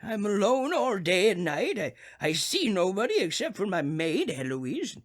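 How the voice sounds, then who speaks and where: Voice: mid-range voice, very animated, normal speed. An actor playing a crotchety, nervous old male invalid who stammers insistently on the telephone, in old-time radio suspense style. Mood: shaken.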